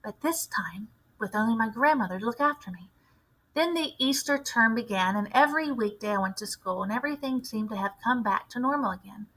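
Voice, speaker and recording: A woman narrates a children's story with a bright, fairly high voice. Her phrasing is deliberate and expressive, as a teacher reads aloud. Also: a little hiss or hum, low-quality recording.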